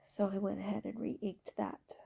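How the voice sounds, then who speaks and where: A woman with a stuffy, congested voice narrating her scrapbooking process video, explaining her supplies in a relaxed way with occasional ums. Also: medium pitch, moderate pace, vocal fry, breathy edge. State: stuffy, congested from allergy shots.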